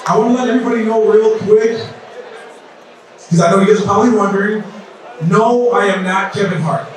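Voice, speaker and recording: A man's voice: high voice, fairly even intonation, fairly quick, background noise. A man in his thirties, originally from South Sudan, emceeing a campaign event in loose, repetitive crowd-rousing talk. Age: 30s. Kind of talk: casual speech at a campaign event. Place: large indoor event hall. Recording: echoey hall, mic from far off.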